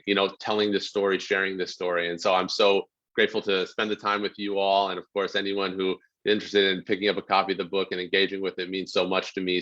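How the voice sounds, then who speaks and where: A man's voice: low voice, a bit bright. A man in his thirties, an author, talking earnestly about his book and reading a passage aloud over a video call. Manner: earnest, frequent "you know" fillers. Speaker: author guest. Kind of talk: casual talk and reading aloud. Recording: dull lo-fi video call audio.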